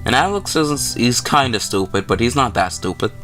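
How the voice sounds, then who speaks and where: A man's voice: mid-range voice, animated, moderate pace, a bit bright. Male let's-play host, mid-range voice, informal and slangy, reads game text aloud over background music. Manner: informal slangy commentary, stumbles while reading. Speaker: gaming video host. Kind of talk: casual let's play, reading visual novel text aloud with reactions. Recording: music playing behind the voice.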